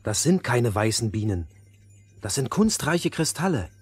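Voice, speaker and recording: An actor playing a headstrong young boy in a fairy-tale audio play, with an expressive, youthful manner. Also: mid-range voice, unhurried, husky, faint music behind. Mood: scornful. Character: young boy, headstrong, scornful, easily enchanted.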